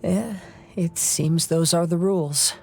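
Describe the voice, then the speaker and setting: An actor playing a gentle, earnest young samurai heir in a video game, soft-spoken and poetic in manner. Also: male voice, fairly high pitch, slow pace, gravelly, faint music behind. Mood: calm reflection. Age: young adult. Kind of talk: dramatic video game dialogue.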